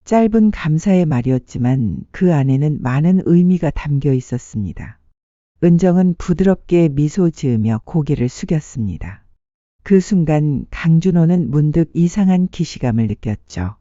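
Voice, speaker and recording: A narrator reading a dramatic story in a measured, sentimental storytelling manner. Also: medium pitch, expressive, mellow, dry recording, dull audio. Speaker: audiobook narrator.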